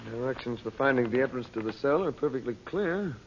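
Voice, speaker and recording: An actor playing a calm, commanding mystic adventurer, measured and authoritative, in old-time radio drama style. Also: male voice, mid-range voice, natural voice. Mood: purposeful. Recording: muffled, hissy old radio recording.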